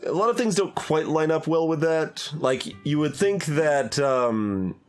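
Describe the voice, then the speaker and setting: A male game streamer talks casually in a fairly high voice for a man. He rambles and halts, working through theories as he goes. Music from the game plays behind him. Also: animated, clear, resonant tone. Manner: halting, thinking aloud as they speculate. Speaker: streamer doing a blind voiced playthrough. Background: video game music.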